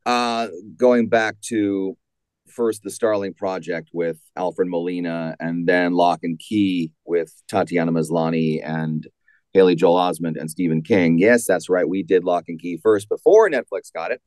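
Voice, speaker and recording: A man giving a measured, explanatory answer as an interview guest, repeating points for emphasis. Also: deep voice, steady tone, darkish tone, no room sound. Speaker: audio drama writer and interview guest.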